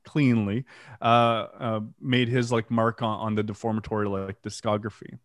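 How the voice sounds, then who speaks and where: A man's voice: fairly low pitch, little pitch movement, normal speed, no room sound, studio quality. A French Canadian man, a metal band's vocalist, telling his band's story in a casual interview, rambling with fillers and casual swearing. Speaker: band vocalist and guitarist.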